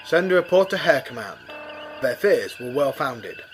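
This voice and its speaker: A man playing a steady, thoughtful rabbit starship captain in a sci-fi audio drama, with a measured, authoritative way of talking. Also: medium pitch, a little hiss or hum, close to an everyday voice. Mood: commanding.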